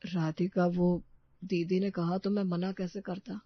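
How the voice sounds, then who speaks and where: A woman playing a naive, gentle young male student in a cartoon story, hesitant, earnest and deferential. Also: fairly low pitch, flat delivery, a little slow, dull audio.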